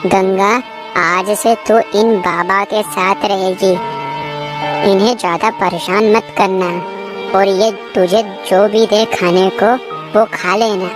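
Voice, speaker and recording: A woman's voice: medium pitch, fairly even intonation, slow, over music, natural voice. A woman voicing a poor, kind, earnest village husband in a moral cartoon story.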